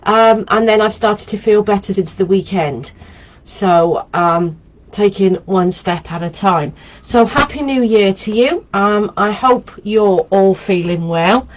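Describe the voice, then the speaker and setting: A woman's voice: medium pitch, slow pace, muffled recording. A woman and holistic therapist, nasal and congested from a head cold, chatting in a rambling, meandering way with plenty of ums on a live stream from home. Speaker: holistic therapist hosting a weekly live video.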